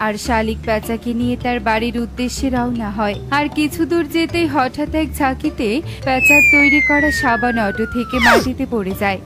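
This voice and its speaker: Woman, storyteller, high pitch, scripted children's narration, background music.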